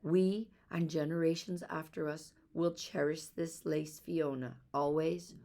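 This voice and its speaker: A woman's voice: deep voice, fairly even intonation, measured pace, gravelly, mellow. A woman reading a children's storybook, voicing a devoted father who speaks with fervent, heartfelt emotion. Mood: moved, heartfelt. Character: emotional, devoted father of two young girls. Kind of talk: children's storybook read aloud.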